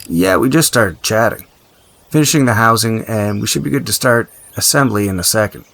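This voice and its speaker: Male actor as uneasy male tinkerer, lowish pitch, fry in the voice, gruff, casual, profane, audio drama dialogue. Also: lively intonation, normal speed, a bit bright. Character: practical, blunt, uneasy male tinkerer and survivor.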